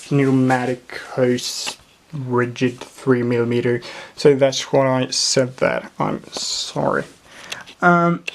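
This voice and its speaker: A native Swedish speaker with a Swedish accent, casually showing off a model build and often pausing to search for English words. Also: medium pitch, gravelly. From Sweden. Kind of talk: casual show-and-tell narration.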